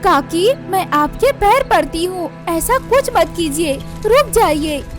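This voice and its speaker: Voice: extremely high pitch, animated, slow pace, over music, full character voice. A performer voicing a meek young female bird, a polite, pleading orphan daughter-in-law in a cartoon.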